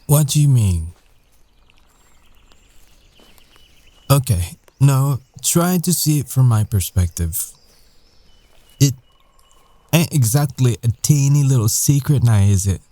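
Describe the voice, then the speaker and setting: A man playing a guarded, earnest werewolf ex-boyfriend in an intimate ASMR roleplay, speaking haltingly with long pauses. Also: medium pitch, lively intonation, dark tone, no room sound. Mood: defensive, earnest.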